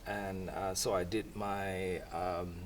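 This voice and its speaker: Low-voiced man, heard from afar. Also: flat delivery, slow pace, a little hiss or hum. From Singapore. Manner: thoughtful, rambling, with soft laughs. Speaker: academic panelist. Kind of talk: reflective panel talk. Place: event room. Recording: voice from a distant mic.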